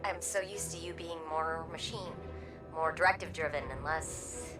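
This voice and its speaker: Actress as an earnest low-voiced woman. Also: fairly even intonation, moderate pace, close to an everyday voice. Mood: earnest, tender. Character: earnest, loyal woman confiding in her AI companion. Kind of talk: scripted video game dialogue. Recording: game music behind.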